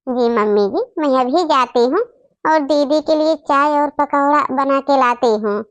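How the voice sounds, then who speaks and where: A woman's voice: high-pitched, unhurried, no echo. A woman voicing an obedient, eager-to-please young daughter-in-law in a family comedy cartoon, speaking politely and deferentially. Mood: obliging.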